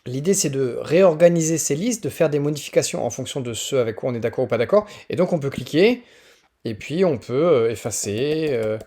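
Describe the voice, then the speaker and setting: A man's voice: mid-range voice, expressive, brisk, warm tone. A man from northern France with a French accent presents teaching resources in an informal, explanatory screen tutorial, often hesitating as he thinks aloud.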